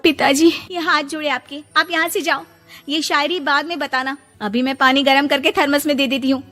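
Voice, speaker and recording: A sharp-tongued young daughter-in-law acted by a woman in a cartoon family story. Her voice is very high and shrill, and she complains in exasperation with a quick temper. It rings in long reverb with music behind it. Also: steady tone. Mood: exasperated. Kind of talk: animated moral-story cartoon voice acting.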